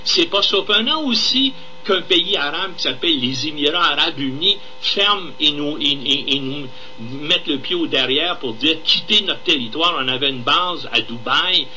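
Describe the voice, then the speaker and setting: Really sharp highish-voiced quebec guy ranting politics on the radio, indignant, bit of background noise. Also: expressive, moderate pace, hardly any pauses, soft background music, rough recording. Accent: Québécois French. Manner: indignant, emphatic. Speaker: radio commentator and guest. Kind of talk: live radio political commentary.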